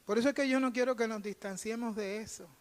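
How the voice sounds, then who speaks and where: High-voiced Puerto Rican preacher man in a reverberant hall. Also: fairly even intonation, fast talker. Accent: Puerto Rican Spanish. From Puerto Rico. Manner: emphatic, repeats phrases for emphasis, acts out dialogue. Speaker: pastor. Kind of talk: sermon, conversational storytelling. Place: church sanctuary during a live service. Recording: reverberant hall, live church service.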